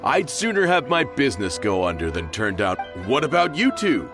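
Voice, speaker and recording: A man voicing a kindly, easygoing middle-aged merchant and father in a video game, expressive and folksy, with music behind. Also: medium pitch, moderate pace. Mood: amiable, teasing. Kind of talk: scripted video game voice acting.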